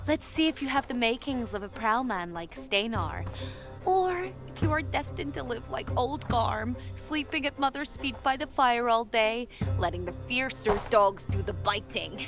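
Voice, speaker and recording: A woman's voice: fairly high pitch, expressive, normal speed, over music. A woman playing a young, fearless Norse warrior sister, teasing and cocky, in a video game cutscene. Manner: taunting, playful.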